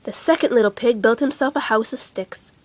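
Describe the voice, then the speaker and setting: A woman narrating children's bedtime stories with expressive, dramatic and emphatic phrasing. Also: a little high, a little fast, a little hiss or hum. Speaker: storyteller.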